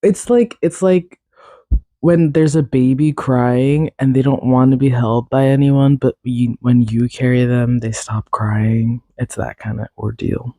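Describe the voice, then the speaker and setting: A man talks on his podcast in a warm, relaxed voice, musing casually and pausing as he gropes for words. His voice is mellow and the sound is close and dry, with no room around it. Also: mid-range voice, no room sound. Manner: thinking aloud, searching for words. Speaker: podcast host. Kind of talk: casual solo chat.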